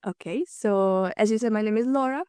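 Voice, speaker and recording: A woman's voice: mid-range voice, a little fast, dark tone, clear, resonant tone, dry recording. Young woman from slovakia, hungarian is her first language, chatty guest, dry close sound. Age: 20s. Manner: chatty, with frequent ums and light laughs. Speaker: podcast guest. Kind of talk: casual conversational interview.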